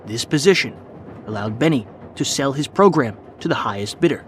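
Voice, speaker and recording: A man delivering scripted documentary narration with measured, formal phrasing. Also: mid-range voice, expressive, slight background noise. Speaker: podcast narrator.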